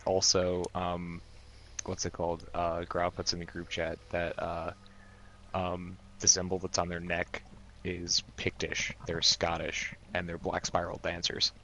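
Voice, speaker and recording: A man with a deep, sharp-edged voice and little pitch movement talks as a tabletop player. He casually explains his character's actions over noticeable background noise. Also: completely flat delivery, measured pace. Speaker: player in a tabletop roleplaying game. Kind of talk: casual game chat, describing character's actions.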